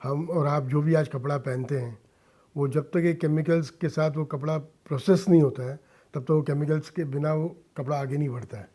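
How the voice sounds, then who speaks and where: A man's voice: on the high side, steady tone, normal speed, mellow. A middle-aged man from India speaking earnestly as a radio interview guest, dropping in English phrases. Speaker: interview guest, businessman and social worker. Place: radio studio.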